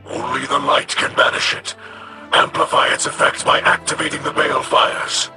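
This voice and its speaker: An actor playing a grave, resolute alien warrior leader in a video game, with a breathy, rasping growl and formal, measured delivery. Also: male voice, a little high, little pitch movement. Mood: solemn, urging. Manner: formal, weighty, measured declarations. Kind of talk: video game mission dialogue. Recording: loud music over the voice.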